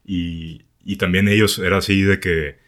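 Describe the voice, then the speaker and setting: A young Mexican man with a Mexican accent, hosting a casual podcast in a relaxed, slangy way with lots of fillers. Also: low voice, unhurried. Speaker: podcast host.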